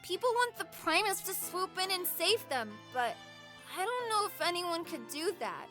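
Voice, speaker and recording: Woman voicing a very high-pitched, bright, earnest kid. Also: very animated, moderate pace, soft background music, exaggerated voice. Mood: thoughtful, earnest. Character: bright, kind, wise-beyond-years kid from another world. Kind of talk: scripted audio drama acting.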